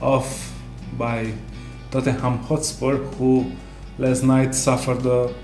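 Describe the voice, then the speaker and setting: A man co-hosting a casual sports discussion, speaking haltingly with non-native English phrasing. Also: medium pitch, level tone, darkish tone. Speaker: co-host. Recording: roomy echo, music underneath.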